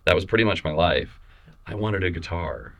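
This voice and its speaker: A man with a very deep, low-pitched voice talks in a relaxed, casual way. He often trails off, repeats words and restarts his sentences while he chats in an interview. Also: gravelly. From Missouri, USA. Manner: relaxed, halting, many restarts and fillers. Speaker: photographer guest.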